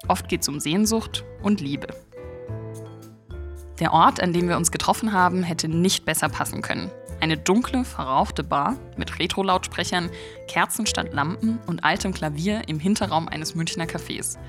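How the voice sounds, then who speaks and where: A woman hosting a podcast, reading a scripted introduction and then chatting casually with her guest, cheerful and quick to laugh. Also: lowish voice, soft background music. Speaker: podcast host.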